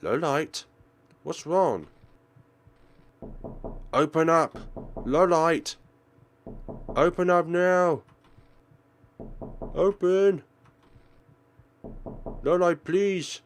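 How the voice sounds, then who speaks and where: A man's voice: fairly high pitch, drawn-out words, pauses often, pitched above the performer's own voice. A man voicing a worried, stern mother who scolds her child in an insistent, pleading manner in a visual novel. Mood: alarmed, pleading.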